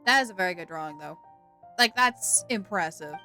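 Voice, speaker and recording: A woman's voice: lowish voice, lively intonation, a little fast, bright, forceful tone, soft background music. A woman live streamer giving casual, chatty commentary on a video game, thinking aloud and repeating herself.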